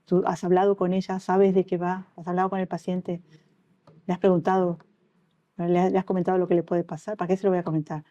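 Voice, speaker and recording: A woman's voice: lowish voice, quick pace, lo-fi sound. A middle-aged Uruguayan woman with a Uruguayan Spanish accent, an anesthesiologist telling stories in an interview and acting out what people said to her. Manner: animated storytelling, acts out quoted dialogue. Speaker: anesthesiologist guest. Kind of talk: conversational interview.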